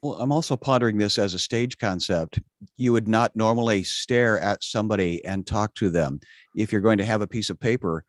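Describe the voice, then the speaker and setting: A man speaking as a panelist, giving thoughtful advice in measured, slightly theatrical wording. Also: lowish voice, dry recording, clean studio sound.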